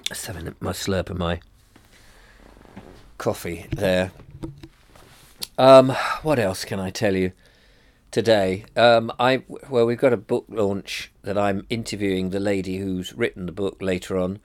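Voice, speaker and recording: A man's voice: low voice, unhurried, long pauses, no echo. A middle-aged man with a blocked-up nose, musing hesitantly to camera with many ums and restarts. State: congested, bunged up. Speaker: vlogger. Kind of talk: rambling personal reflection to camera.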